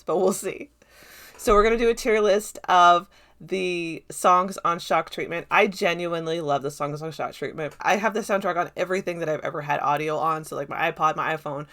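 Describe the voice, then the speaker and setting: A woman's voice: on the low side, fairly bright tone. A woman giving casual, unscripted film commentary to viewers in a chatty, rambling, self-deprecating way. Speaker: video essayist reviewing a film.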